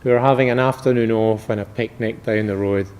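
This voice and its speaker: A man, a medical researcher, giving a public academic lecture in a measured, informal explaining manner. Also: fairly low pitch, fairly even intonation, a little mellow, smooth.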